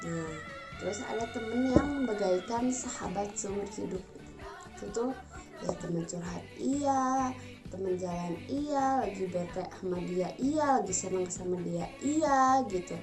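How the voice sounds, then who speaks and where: Young woman vlogger, Sundanese accent, chatty and emphatic, mid-pitched, music bed underneath. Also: expressive, a little slow. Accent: Sundanese Indonesian. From Bandung, Indonesia. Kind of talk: casual talk to camera.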